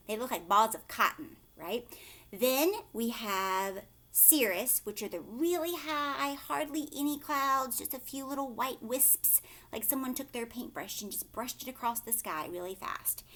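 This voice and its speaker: A woman in her thirties reading aloud and explaining to young children in an expressive way, asking simple questions, recorded at home on a webcam. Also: medium pitch. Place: living room at home, indoors. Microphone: webcam or laptop at arm's length.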